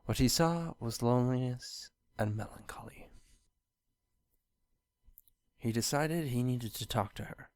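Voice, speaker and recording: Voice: medium pitch, unhurried, long pauses, a little mellow, no echo. A narrator reading a scripted fan fiction story aloud.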